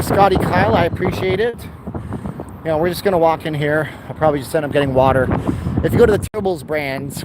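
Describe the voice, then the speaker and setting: A man chatting casually as he walks and live streams on his phone, rambling and answering chat comments, with a dry throat he keeps clearing. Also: a little high. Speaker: vlogger live streaming a city walk. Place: outdoors by a busy boulevard. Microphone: handheld phone. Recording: outdoor phone recording with traffic noise. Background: passing cars and street traffic.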